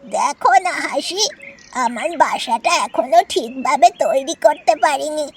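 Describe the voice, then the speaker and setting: Sly cawing crow mom for a cartoon, high pitched, lively swings. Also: female voice, a little slow, a little hiss or hum. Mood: wheedling. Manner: wheedling, cawing. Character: touchy, scheming, vengeful mother crow. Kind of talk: cartoon voice acting.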